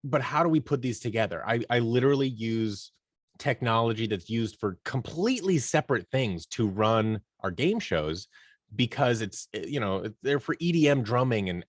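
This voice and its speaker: A man's voice: mid-range voice, animated, normal speed, dry recording, clean studio sound. A man giving casual, conversational answers as an interview guest, telling stories and acting out little quoted exchanges. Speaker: interview guest, audio drama producer leading a creative team.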